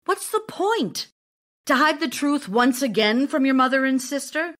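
A woman's voice: high-pitched, lively intonation, fairly bright tone. A woman playing an ardent, theatrical stage actress in love, speaking emotionally in an audio drama. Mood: hurt, pleading. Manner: emotional, theatrical, deliberate phrasing. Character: Olga Knipper, ardent Russian stage actress and lover. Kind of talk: audio drama acting, letters read as speech.

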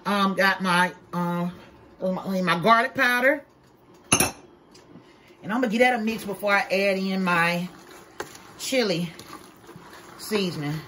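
A folksy woman talks her viewers through a home recipe. Her tone is chatty and relaxed, and her voice sits at a middle pitch. She is recorded in a home kitchen by a handheld camera, with faint noise behind her. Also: takes it slowly, pauses often. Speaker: vlogger. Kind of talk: casual cook-with-me vlog. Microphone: camera held by someone else.

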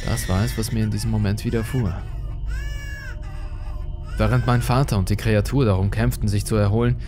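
A man narrates a horror story in a fairly low voice. His delivery is scripted, measured and full of suspense. Dark music plays clearly behind him.

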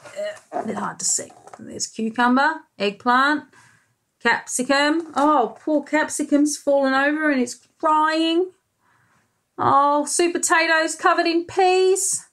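An Australian-accented woman, a preschool teacher in her thirties, reading a picture book aloud expressively with questions and deliberate emphasis. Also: sits high, steady tone, fairly bright tone. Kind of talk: picture-book read-aloud for young children. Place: small room indoors by a window.

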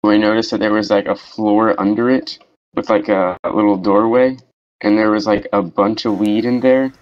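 A man's voice: lowish voice, fairly even intonation, normal speed, a little mellow. A young man casually telling a story in a voice chat, easygoing and slangy. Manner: easygoing, slangy, says "dude" and "bro". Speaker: voice chat participant. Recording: muffled lo-fi voice chat mic.

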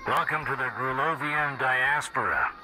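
A man voicing a jaded, dryly resentful theme-park ride operator in a video game, with deadpan announcer patter. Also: mid-range voice, moderate pace, faint music behind, rough recording. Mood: flat announcing. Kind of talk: video game cutscene acting.

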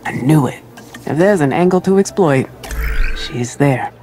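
A woman's voice: low voice, lively intonation, takes it slowly, music in the background. An actress playing a shrewd female underground ally in a video game, with a laid-back, knowing, teasing manner.

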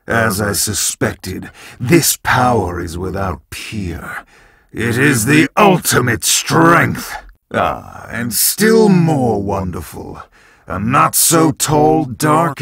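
An actor playing an arrogant, power-drunk jackal villain in a video game, husky and breathy, with haughty, menacing, deliberate taunts. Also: male voice, mid-range voice, a bit bright, heavily acted.

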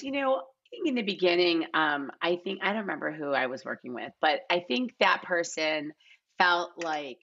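Low-voiced woman, slightly muffled. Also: a bit bright. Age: middle-aged. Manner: informal, repeats herself for emphasis. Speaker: casting director, podcast guest. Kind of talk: casual podcast interview talk. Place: large living room at home. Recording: slightly dull, lo-fi video-call sound.

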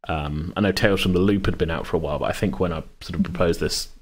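Low-voiced man in casual chat. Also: fast. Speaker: game master and podcast host. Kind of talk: casual chat about a tabletop game.

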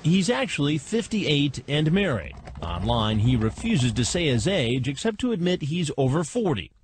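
A man's voice: fairly high pitch, big pitch swings, moderate pace, a little hiss or hum. Wry male TV voice-over narrator. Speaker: narrator of a TV investigative program. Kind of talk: scripted narration.

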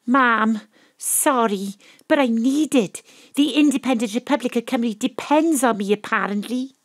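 A woman's voice: on the high side, a little slow, dry recording. A woman playing a plucky, self-important Welsh detective who narrates her case in an audio drama, with dry, arch delivery. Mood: arch, resolute.

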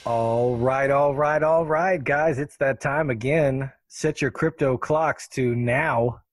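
A man's voice: medium pitch, slow pace, no room sound, soft background music. Man hosting a podcast, dry close sound. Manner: jokey, chatty. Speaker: podcast host. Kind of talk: upbeat unscripted podcast hosting.

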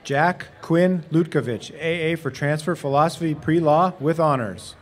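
Man announcing names, slightly higher voice. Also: normal speed. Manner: steady, formal announcing. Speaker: graduation name reader. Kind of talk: reading graduate names at a ceremony. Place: outdoor stadium commencement stage.

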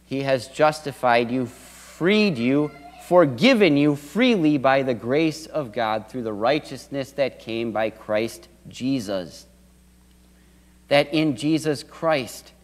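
Middle-aged pastor preaching in a reverberant church. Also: male voice, medium pitch, lively intonation, takes it slowly. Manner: earnest preaching with wide gestures and swells of emphasis. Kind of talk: sermon. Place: church sanctuary at a wooden pulpit.

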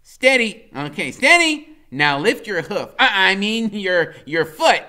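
A man's voice: high-pitched, very animated, slow, bright, forceful tone, pitched above the performer's own voice, full character voice. A man voicing a small, imaginative boy who talks in grand, old-fashioned knightly phrases. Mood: grandiose.

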